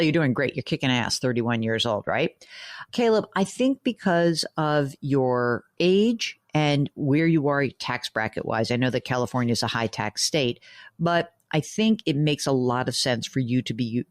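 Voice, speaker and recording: A woman hosting a radio money show. She reads listener emails aloud and answers them frankly and chattily, working through the numbers out loud and throwing in joking asides. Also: very deep voice, animated, moderate pace, dry recording, studio quality. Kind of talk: conversational radio call-in advice.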